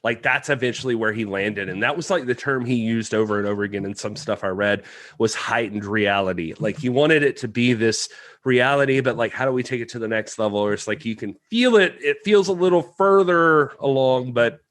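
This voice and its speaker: A man's voice: mid-range voice, a little fast, fairly bright tone, no room sound. A man co-hosting a film podcast, rambling in a laid-back, self-deprecating way. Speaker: podcast co-host. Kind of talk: casual film discussion.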